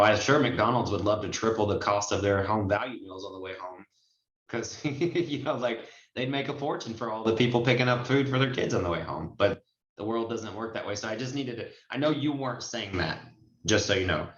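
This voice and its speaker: A man's voice: lowish voice, brisk, fairly bright tone. A man in his forties coaching people conversationally on a group video call, chatty and fond of illustrating points with examples. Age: 40s. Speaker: host and notary trainer. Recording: muffled, roomy video-call audio.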